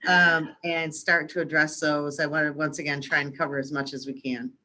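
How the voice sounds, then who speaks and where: A woman moderating a webinar question session, briskly recapping and rephrasing audience questions for the presenter. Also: low voice, level tone, sharp tone.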